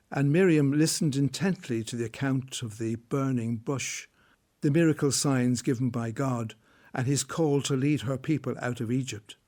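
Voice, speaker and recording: A man's voice: medium pitch, darkish tone. A man reading scripted narration as the storyteller of a drama.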